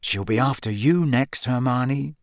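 A man voicing a nervous teenage boy who gives low, worried warnings in an audiobook. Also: unhurried, no echo, clean studio sound, lightly acted.

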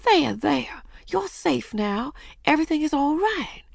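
A sympathetic mother put on by a woman narrator in a storybook, lifted in pitch. She speaks tenderly and consolingly, with a close dry sound. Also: big pitch swings, a little slow, gravelly, no echo, full character voice. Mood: soothing.

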